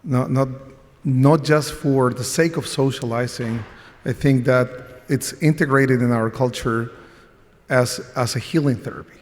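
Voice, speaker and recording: Chilean man on a conference panel, earnest and reflective, mid-pitched voice, echoing hall. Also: measured pace, a little mellow. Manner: reflective, earnest, with frequent restarts. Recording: long reverb of a conference hall.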